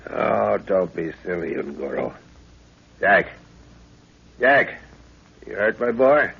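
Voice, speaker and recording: A man's voice: sits low, very animated, takes it slowly, creaky, slightly rough, exaggerated voice. An actor playing an irritable, curious older professor and father, exclaiming and stammering in a 1930s radio drama. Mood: groggy, irritable, then concerned. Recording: dull lo-fi 1930s radio recording.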